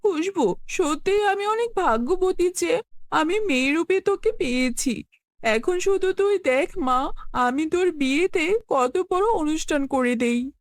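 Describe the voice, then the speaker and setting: A woman's voice: extremely high pitch, animated, unhurried, no room sound, studio quality, heavily acted. A woman playing a loving, worried village mother in a cartoon fairy tale, speaking with earnest emotion. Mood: grateful.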